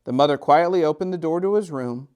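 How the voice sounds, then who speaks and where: A middle-aged man reads a picture book to children expressively, drawing out repeated phrases with gentle, dramatic emphasis. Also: fairly high pitch, clean tone. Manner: gentle, drawn-out, sing-song repetitions with dramatic emphasis. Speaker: storytime host and children's author.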